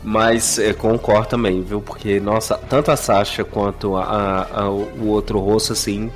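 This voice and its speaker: A Brazilian man in a casual podcast chat, halting as he goes and circling back to rephrase himself. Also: lowish voice, flat delivery, faint music behind. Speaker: podcast co-host.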